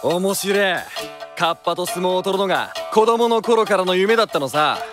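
An actor playing a brash, playful rogue monk in a radio drama, with rough, breezy speech. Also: male voice, sits high, moderate pace, music in the background, close to an everyday voice. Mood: eager, breezy. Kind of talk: Japanese radio drama acting.

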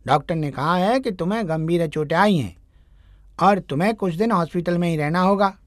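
A woman voicing a kind, reassuring young magical man in an animated story. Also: on the low side, fairly quick, dry recording, clean studio sound, natural voice. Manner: calm, reassuring. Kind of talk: Hindi animated moral story acting.

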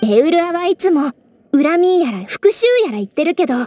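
A woman's voice: very high voice, expressive, brisk, darkish tone, soft background music. A woman voicing a cheerful, friendly teenage girl scout in an anime game, open and eager. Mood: earnest. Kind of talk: Japanese anime game voice acting.

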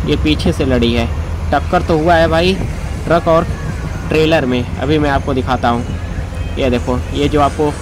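A young man chatting casually to viewers as a travel vlogger, filming himself with a phone at arm's length outdoors at night. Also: a little high. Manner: chatty, addresses viewers as brother and guys. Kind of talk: casual travel vlog narration. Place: outdoors at night on a roadside and in a bus. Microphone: phone held at arm's length. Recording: loud street traffic noise. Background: loud traffic and vehicle noise.

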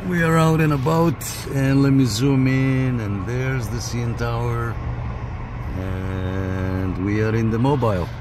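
A man's voice: medium pitch, a little mellow. A man talking casually as a CB radio operator, recorded inside a vehicle. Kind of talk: casual radio chat to camera. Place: inside a parked minivan outdoors. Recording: faint engine and car noise. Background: faint vehicle and outdoor noise.

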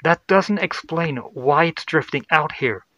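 A man playing a tense, hot-headed spaceship crewman, snappy and forceful, in a sci-fi horror audio story. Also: on the high side, unhurried, no room sound, dull audio, pitched above the performer's own voice. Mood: impatient.

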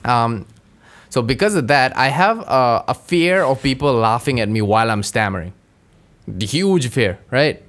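A man's voice: mid-range voice, animated, unhurried, a bit bright. A man co-hosting a podcast in casual chat, who stammers, restarts sentences and searches for words as he talks. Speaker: podcast co-host.

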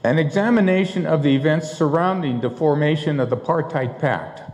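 An older man giving a history lecture from a lectern in a large auditorium, reading in a measured way with occasional restarts and fillers. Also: fairly high pitch, darkish tone. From United States. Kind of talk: public history lecture read from notes. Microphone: lectern mic at a distance. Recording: roomy hall reverb.

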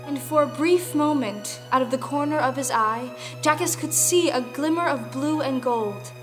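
High-voiced actress as a female folktale storyteller. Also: normal speed, lightly acted. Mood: storytelling. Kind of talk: scripted dramatic folktale narration. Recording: music behind the voice. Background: music.

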